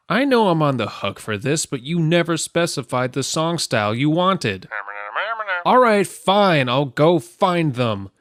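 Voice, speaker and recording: A man's voice: a little high, no echo, studio quality. A man playing an irritated, sarcastic songwriter arguing through one side of a call in a comedy skit. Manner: irritated, foul-mouthed, sarcastic. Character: exasperated male songwriter griping on a phone call. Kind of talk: scripted comedy skit monologue.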